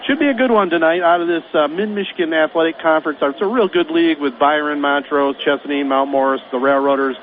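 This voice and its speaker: A man with a Midwestern American accent calling a high school basketball game on local radio, folksy and chatty. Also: fast talker, hardly any pauses. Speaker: play-by-play announcer. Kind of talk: radio sports pregame talk. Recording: phone-like radio broadcast with faint noise.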